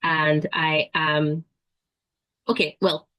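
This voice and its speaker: Woman, panel guest, low pitch, steady explanatory talk, dry. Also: very slow, no room sound. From South Africa and Nigeria. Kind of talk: casual storytelling.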